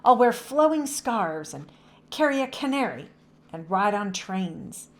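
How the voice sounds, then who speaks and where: Woman playing a young girl, dreamy, adventurous, polite, storybook character, lively pitch. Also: a little slow.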